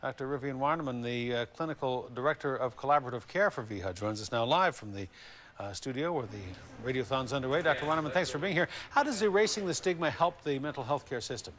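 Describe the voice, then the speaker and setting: A man's voice: medium pitch, fast talker, a bit bright. Man anchoring the local news. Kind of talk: live local TV news presenting and interviewing.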